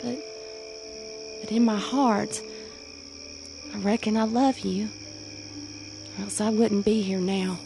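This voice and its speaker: An actress playing an earnest young country sweetheart in a radio drama, with a rural Southern US accent and plain-spoken manner. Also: female voice, medium pitch, steady tone, slow pace, creaky, pauses often, music in the background. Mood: hesitant, tender.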